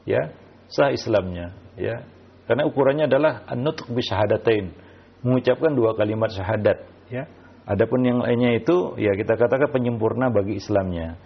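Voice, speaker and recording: A man with a gravelly, creaky voice teaches religion in answer to questions. He speaks haltingly, often tagging his phrases and slipping into Arabic quotations. The sound is muffled and lo-fi. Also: medium pitch. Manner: halting, repeats filler tags, quotes Arabic phrases. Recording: muffled, dull lo-fi audio.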